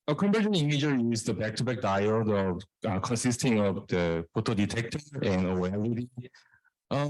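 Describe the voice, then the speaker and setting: A man giving a technical research presentation in a halting way, repeating and restarting phrases. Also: medium pitch, no echo, rough recording. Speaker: researcher presenting own work.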